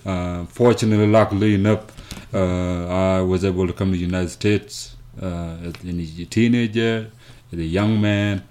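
A man with a South Sudanese accent telling his story as an interview guest, haltingly and with repeated words. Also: sits low, steady tone, darkish tone. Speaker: interview guest, foundation founder. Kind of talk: conversational storytelling.

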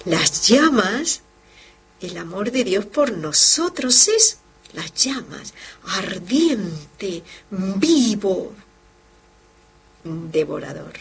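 A woman's voice: mid-range voice, big pitch swings, drawn-out words, gravelly, long pauses, husky. A woman who is a religious sister, hosting a devotional program, explaining with fervor and speaking directly to her listeners. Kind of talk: devotional teaching and reading listener letters. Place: lives in Barcelona.

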